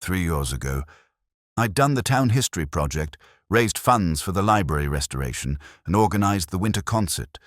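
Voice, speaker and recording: A man voicing an anxious, bitter small-town woman in a mystery story, hesitant, hushed and defensive. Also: sits low, lively intonation, normal speed, no room sound, clean studio sound, voice pushed up.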